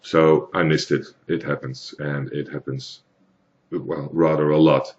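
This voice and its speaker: A man from the Netherlands with a Dutch accent, explaining software in a hesitant, plain tutorial with many fillers. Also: a bass voice, little pitch movement, measured pace, muffled recording. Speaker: tutorial maker. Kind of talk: screen-recorded software tutorial.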